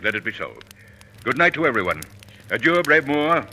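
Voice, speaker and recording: An actor playing a courtly head of state with pompous, formal oratory in old radio Shakespeare style. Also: male voice, mid-range voice, very animated, normal speed, bright, forceful tone. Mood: commanding. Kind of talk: 1940s radio Shakespeare acting. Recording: faintly noisy old radio broadcast.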